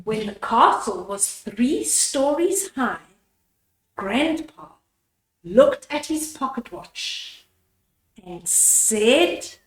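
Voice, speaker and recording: A woman's voice: fairly high pitch, sing-song, very slow, echoey room, low-quality recording. An older woman storyteller reading a bedtime book to young children and explaining the pictures in simple words. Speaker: storyteller, host of a children's read-aloud.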